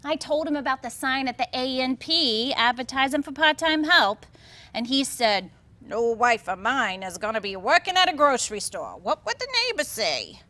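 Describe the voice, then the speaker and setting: An actress playing a chatty, wistful, put-upon 1950s housewife in a staged play reading, with an animated, expressive delivery. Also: female voice, sits high, normal speed, no echo, exaggerated voice. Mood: sheepish. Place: theatre stage.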